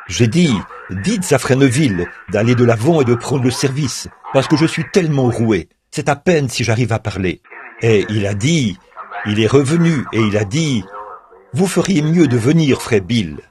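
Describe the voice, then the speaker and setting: A man's voice: medium pitch, expressive, normal speed, a crowd in the background. Man reading a translated sermon. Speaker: French reader of a translated sermon.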